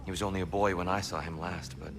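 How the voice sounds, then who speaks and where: Gentle, lowish-voiced peace-loving god. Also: male voice, steady tone, quick pace, music in the background. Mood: sympathetic. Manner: soft-spoken, earnest, courteous. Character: gentle, humble male god of peace, former diplomat. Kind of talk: dramatic video game acting. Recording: reverberant space with clear ambient noise.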